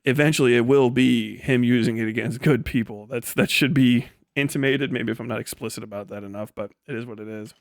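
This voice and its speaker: Male stream host, mid-pitched, blunt and profane, off-the-cuff opinions, very little room sound. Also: dry recording, clean studio sound. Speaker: livestream host reading and answering chat. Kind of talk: casual, unscripted livestream commentary.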